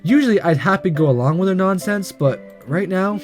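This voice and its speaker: A man hosting a video, reading a visual novel aloud in an expressive narrating style. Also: high voice, fairly quick, faint music behind.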